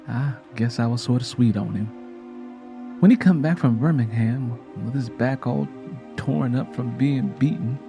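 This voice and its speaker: Guy doing a sad mama telling family history, folksy n plain, mellow low-key voice, music under it. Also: lightly acted. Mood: wistful. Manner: plainspoken, folksy, reflective storytelling. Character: sorrowful Southern mother recounting hard family history.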